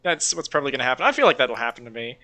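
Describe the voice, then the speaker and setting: Bright-voiced young man, casual chat. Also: medium pitch, very animated, very fast. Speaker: podcast host.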